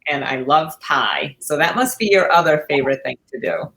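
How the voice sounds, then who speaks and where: A woman's voice: sits low, animated, normal speed, a bit bright, low-quality recording. A woman co-hosting a podcast in a casual, conversational style. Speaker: podcast co-host.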